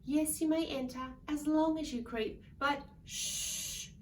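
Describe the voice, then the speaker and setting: A woman voicing a small sleepy storybook possum girl, politely hushing her visitors with drawn-out pleas. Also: sits high, fairly even intonation, voice pushed up. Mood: sleepy, pleading. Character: small sleepy female possum, polite but wanting quiet. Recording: distant mic with faint background noise.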